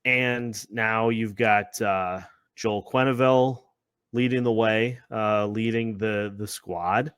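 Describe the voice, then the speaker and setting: A man's voice: fairly low pitch, fairly even intonation, takes it slowly, a bit bright, clean tone, no echo, clean studio sound. A man hosting a sports podcast in a conversational way, repeating phrases as he builds his points. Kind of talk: conversational sports discussion with scripted-sounding intro.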